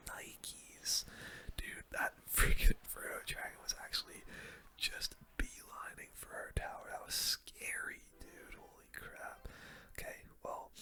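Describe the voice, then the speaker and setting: A person giving casual, slangy gaming commentary in a soft, breathy ASMR whisper, close to the microphone. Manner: casual slangy gamer talk, reacting to play. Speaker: gaming video host.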